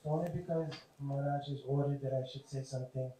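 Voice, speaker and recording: Hoarse male speaker, earnest and measured, mellow tone, distant and very reverberant. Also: medium pitch, fairly even intonation, slight background noise. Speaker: devotee speaker. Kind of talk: devotional talk. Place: temple hall. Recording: very echoey hall, voice from far off.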